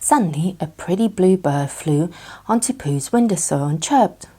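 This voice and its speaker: Female children's bedtime narrator, slightly low register, animated and expressive. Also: normal speed, breathy edge. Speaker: storyteller.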